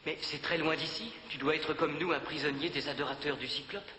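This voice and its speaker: A man voicing an earnest young alien boy who calmly explains things to a newcomer. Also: on the high side, fairly quick. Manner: calm, explaining. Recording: echoey hall with background noise.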